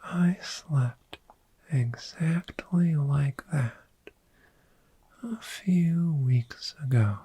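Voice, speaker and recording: A woman voicing a friendly, gentle, sleep-loving dragon in a soft-spoken ASMR roleplay, whispering soothingly and lingering over repeated words. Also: low voice, extremely slow, heavily acted. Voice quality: breathy whisper.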